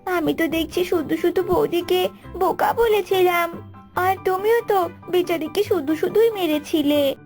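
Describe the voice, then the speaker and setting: A woman's voice: extremely high pitch, measured pace, darkish tone, soft background music. A woman voicing an emphatic, girlish young sister-in-law in a cartoon. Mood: apologetic. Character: young sister-in-law, whiny, then apologetic. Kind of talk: Bengali cartoon voice acting.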